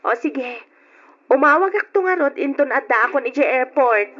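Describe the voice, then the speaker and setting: A woman's voice: high-pitched, animated, moderate pace, a bit bright, lo-fi sound, soft background music. An actress playing an anxious, demanding girlfriend on a call in an old Ilocano radio drama, questioning and scolding. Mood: demanding, worried.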